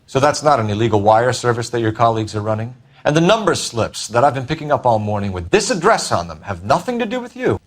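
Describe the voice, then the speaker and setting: An actor playing an earnest, driven 1940s American police detective in a video game, with a clipped, businesslike way of questioning. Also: male voice, medium pitch, very animated, quick pace, hardly any pauses. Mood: probing. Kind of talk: video game cutscene acting. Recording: music behind the dialogue.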